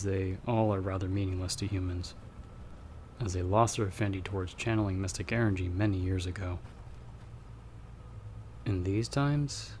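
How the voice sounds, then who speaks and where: Man acting a low-voiced, measured magical guide. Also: a little mellow, slight background noise. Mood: patient, explaining. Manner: measured, patient, instructive, with pauses. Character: calm, guarded male magic-wielding investigator guiding a novice. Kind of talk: ASMR audio roleplay acting.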